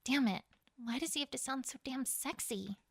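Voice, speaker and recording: A woman playing a proud, conflicted pony queen, telling her story in first person in a dramatic, expressive fan fiction reading. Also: sits high, normal speed, creaky, husky, no room sound, clean studio sound, exaggerated voice. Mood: weary, tense.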